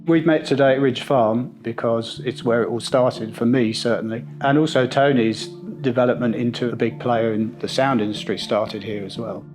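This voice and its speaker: Clear background music with guitar sits behind a middle-aged man giving an interview testimonial. His pitch is average for a man, and he talks in a conversational, reflective way with evident enthusiasm. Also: steady tone, normal speed. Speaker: sound system installer interviewee.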